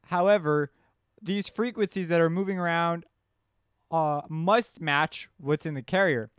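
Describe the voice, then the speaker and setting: A man's voice: on the high side, unhurried, fairly bright tone, clear, resonant tone, faint music behind. A man giving a casual, informal tutorial, explaining things as he thinks aloud. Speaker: music production tutorial instructor. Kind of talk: casual explanatory screencast narration.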